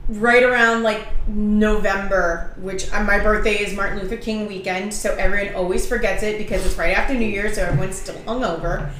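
A woman's voice: mid-range voice, brisk, few pauses, a little hiss or hum. Candid woman in her thirties, echoey room. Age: 30s. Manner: candid, animated storyteller. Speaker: guest. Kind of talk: casual chat.

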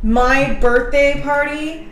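A woman's voice: high-pitched, slow pace, reverberant, slight background noise. A woman in her thirties chatting casually and telling candid stories about turning thirty. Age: 30s. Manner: candid, animated storyteller. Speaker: guest. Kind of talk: casual chat.

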